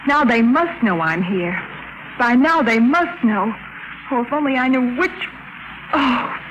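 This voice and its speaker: A woman's voice: on the high side, expressive, a little slow. An actress playing an anxious, secretive woman on the run in a 1950s radio drama, urgent and pleading. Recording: muffled, noisy 1950s radio recording.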